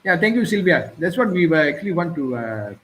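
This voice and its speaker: A man's voice: fairly high pitch, very animated, brisk, fairly bright tone, a little hiss or hum. Hesitant man, slightly raised voice. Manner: hesitant, many "uh" fillers and restarts. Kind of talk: casual conversation.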